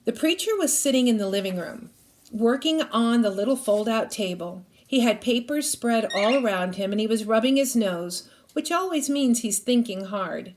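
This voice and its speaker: A woman's voice: a little high, expressive, moderate pace, slight background noise. A woman reading a children's novel aloud expressively, like a teacher reading to a class.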